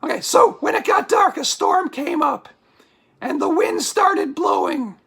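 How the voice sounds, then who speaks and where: A woman playing an excitable, easily sidetracked spooky storyteller for a comic puppet show, with exclamatory, drawn-out dramatic delivery. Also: extremely high pitch, vocal fry, heavily acted. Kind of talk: theatrical comic reading of a scary story.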